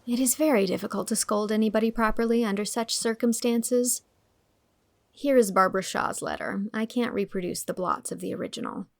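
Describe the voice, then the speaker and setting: A woman's voice: medium pitch, fairly even intonation, brisk, vocal fry, no room sound. A woman narrating a storybook in the first person, in an acted style.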